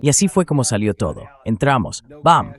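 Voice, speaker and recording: A man's voice: mid-range voice, big pitch swings, moderate pace. A man's synthetic dubbing voice delivering spoken political commentary as the host of a show.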